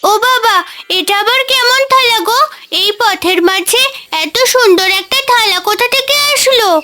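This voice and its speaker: A woman's voice: very high voice, background noise. A woman voicing a curious, worried little village girl in a cartoon. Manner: earnest, quick to worry. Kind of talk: Bengali cartoon voice acting.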